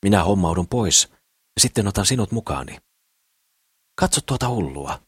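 A man voicing a brash young woman in an audiobook, brisk and scornful but comforting. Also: on the low side, expressive, slightly rough, dry recording, studio quality, voice pushed up. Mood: teasing, consoling. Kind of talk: audiobook character voice.

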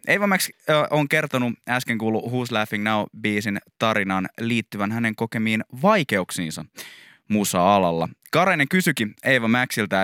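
A man's voice: on the low side, fairly bright tone, clean tone, no room sound, clean studio sound. A man presenting a radio segment, chatty with small slips and fillers.

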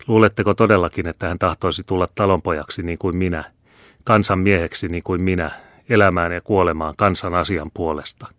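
A man's voice: deep voice, steady tone, fairly bright tone, no room sound, studio quality. A man doing a wrathful old peasant patriarch. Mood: scornful. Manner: thundering, stern declamation.

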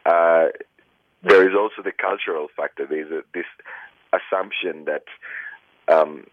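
A man's voice: low voice, fairly even intonation, unhurried, no echo. A man, a human rights lawyer, answering interview questions earnestly with many fillers and restarts. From Kenya. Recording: tinny phone line, dry.

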